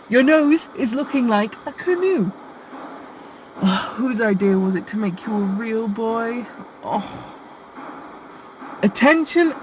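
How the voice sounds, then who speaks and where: A woman's voice: on the high side, a little hiss or hum, rough recording. A woman playing a bossy, sarcastic, exasperated supervisor in Santa's workshop in a radio play. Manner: scolding, exasperated.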